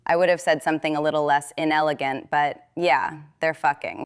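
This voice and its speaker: Woman acting lowish slow voice, playful affectionate shopkeeper gal who teases and gossips, super dry close sound. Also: fairly even intonation, smooth, no room sound. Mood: coy gossiping. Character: friendly, teasing small-town shopkeeper woman, gossipy and affectionate. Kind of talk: naturalistic audio drama dialogue.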